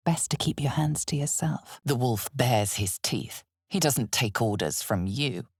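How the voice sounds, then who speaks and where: A woman playing an ominous, knowing narrator of a dark fantasy video game, speaking in a measured, dramatic, hushed way. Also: a bass voice, expressive, breathy edge, dry recording, clean studio sound, exaggerated voice. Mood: grave, measured.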